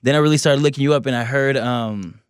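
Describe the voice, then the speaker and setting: A man hosting a casual podcast interview, asking eager, relaxed questions with slang. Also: medium pitch, fast talker, clear, resonant tone, no echo, clean studio sound. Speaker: podcast host.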